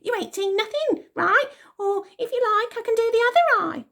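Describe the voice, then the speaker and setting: A woman voicing a tiny girl from a street gang who talks fast and threateningly. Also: very high voice, animated, pitched above the performer's own voice. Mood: menacing.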